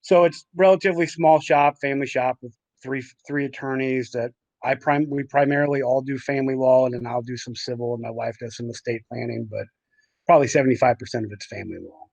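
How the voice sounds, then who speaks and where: A man answering interview questions casually as a client, in a halting way, often rephrasing himself. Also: medium pitch, fairly even intonation, creaky, a little mellow, no room sound, poor audio. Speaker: interviewed client, family law attorney.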